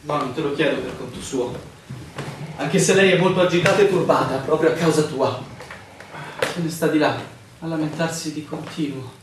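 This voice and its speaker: An actor playing a dutiful, mild innkeeper husband with a plain, subdued manner in a stage-like radio drama. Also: male voice, a little high, moderate pace, lightly acted. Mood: concerned. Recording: echoey room, distant mic, clear noise.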